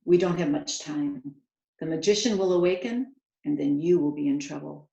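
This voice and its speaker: A woman's voice: deep voice, normal speed, creaky, mellow, highs cut off. Woman reading as earnest manservant, echoey room. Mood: urgent. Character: gentle, earnest young manservant rescuer.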